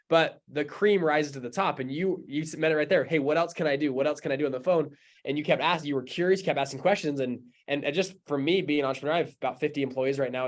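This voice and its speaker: A man hosting an interview, talking in an eager, enthusiastic way, full of fillers like "like" and "you know". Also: fairly high pitch. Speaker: podcast host, entrepreneur. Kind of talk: casual interview talk.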